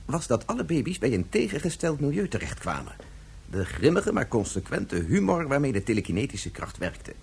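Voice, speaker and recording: Formal scientist, very husky, animated. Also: male voice, medium pitch, exaggerated voice. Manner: formal, argumentative. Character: principled scientist father, articulate, grimly reasoning. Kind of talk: radio drama acting.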